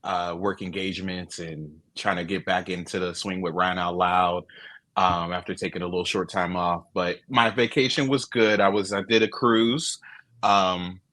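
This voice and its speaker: A man co-hosting a podcast, recounting things in a relaxed, warm way with bright lifts. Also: low voice, moderate pace. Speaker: podcast co-host. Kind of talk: casual chat.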